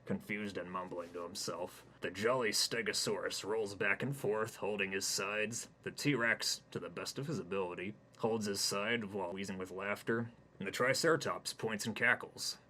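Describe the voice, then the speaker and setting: A man reading his own comic story aloud in a deadpan, rambling narration. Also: lowish voice, moderate pace, slight background noise.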